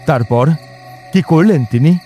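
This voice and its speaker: A man's voice: a little high, animated, a little slow, dark tone, soft background music. A man playing a bold, righteous tantric Brahmin in a horror audio drama, speaking in an earnest, probing way. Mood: curious.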